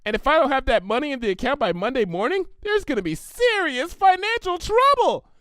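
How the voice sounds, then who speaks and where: A man's voice: very high-pitched, big pitch swings, a little fast, a bit bright, dry recording, voice pushed up, exaggerated voice. A man voicing a hyperactive, cheerful young female cartoon pony with a bubbly, gushing, rambling manner. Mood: frantic pleading.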